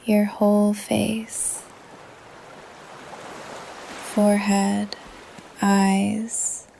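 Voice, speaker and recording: A woman leading a guided meditation in a soft, calm, breathy voice that often trails into a whisper, with gentle pauses. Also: mid-range voice, slight background noise. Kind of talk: guided meditation, yoga nidra narration. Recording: ocean surf under the voice. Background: ocean waves ambience.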